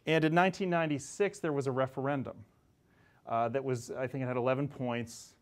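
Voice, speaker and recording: A man's voice: mid-range voice, brisk. A man in his forties giving a conversational classroom lecture with asides to students, speaking on a lapel mic in a classroom. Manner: animated, gesturing, conversational with asides. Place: classroom in front of whiteboard.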